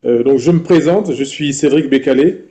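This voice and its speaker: A man in his thirties from Gabon, speaking as an interview guest in a measured way with frequent hesitations. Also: medium pitch, warm tone. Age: 30s. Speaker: entrepreneur guest. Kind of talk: interview answers.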